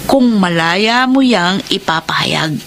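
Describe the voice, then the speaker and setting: A woman acting a blunt, nosy, teasing young cousin in a Filipino radio drama, with a Filipino accent and an animated, emphatic delivery. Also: medium pitch, takes it slowly, slight background noise.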